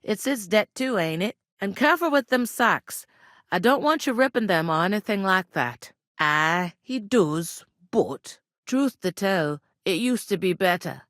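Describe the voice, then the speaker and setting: An actress playing a plain-spoken, bossy, world-weary village innkeeper in a medieval video game, with a rustic working-class English regional accent and a blunt, wry manner. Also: female voice, mid-range voice, lively intonation, measured pace, a bit bright, no room sound, clean studio sound. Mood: brisk, matter-of-fact. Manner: blunt, earthy, colloquial, wry. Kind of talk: video game character dialogue.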